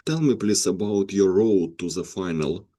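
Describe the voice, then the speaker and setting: A man's voice: sits low, normal speed, warm tone. A man working as an interviewer, asking casual questions.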